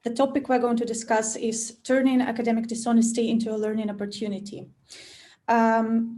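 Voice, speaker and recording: A woman's voice: a little high, warm tone. A woman hosting a webinar discussion, speaking hesitantly with many fillers. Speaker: webinar host.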